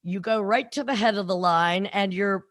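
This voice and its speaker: Woman, 60s, podcast co-host, wry, teasing, deadpan, dry close sound. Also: medium pitch, moderate pace, no echo. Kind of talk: casual chat.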